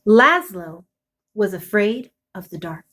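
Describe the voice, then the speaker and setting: A woman's voice: mid-range voice, big pitch swings, slow, fairly bright tone. Woman reading a spooky picture book aloud. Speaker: storybook reader. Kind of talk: expressive children's picture book read-aloud.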